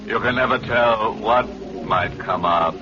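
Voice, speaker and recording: A man's voice: fairly low pitch, a little slow, close to an everyday voice. Male actor as macabre horror host, theatrical, gleeful, droll, 1940s radio, dull noisy recording. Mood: gleefully macabre.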